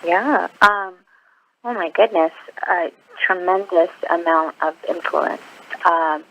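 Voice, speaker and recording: A woman's voice: lowish voice, slow, sharp tone. A woman in her twenties, from Iraq, answering interview questions over the phone in an earnest, hesitant way with many ums. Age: 20s. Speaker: interview guest, nurse. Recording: muffled lo-fi phone line.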